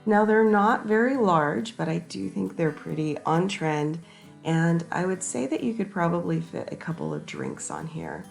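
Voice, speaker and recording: A woman narrating a casual shopping vlog, hoarse and raspy from a sinus infection, chatty and enthusiastic as she talks to her viewers. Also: on the low side, very animated, normal speed, faint music behind. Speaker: shopping vlogger. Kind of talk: casual shop-with-me voice-over.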